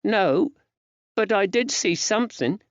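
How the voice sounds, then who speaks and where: A frightened young working-class lad, played by a male narrator, speaks in a high, plaintive voice with rustic old-fashioned dialect. He sounds grief-stricken and earnest, in an audiobook story on a dry, slightly dull recording. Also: lively intonation, measured pace, no echo.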